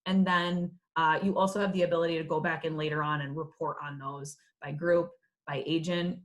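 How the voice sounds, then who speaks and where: A woman's voice: deep voice, little pitch movement, brisk. A woman presenting in a webinar, explaining features in a business-like way.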